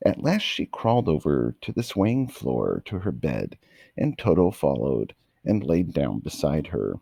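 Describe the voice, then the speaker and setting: A man with a gravelly, husky voice reading a children's bedtime story aloud in an expressive, dramatic manner. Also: rumbling low, measured pace, dry recording, clean studio sound.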